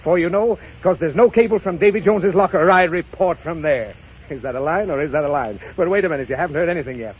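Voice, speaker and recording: Brash, self-important globe-trotting reporter, high-pitched, 1930s radio melodrama, dull vintage sound. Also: male voice, quick pace, slightly rough. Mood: breezy, showy. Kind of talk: 1930s radio drama acting. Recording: muffled, hissy 1930s radio broadcast.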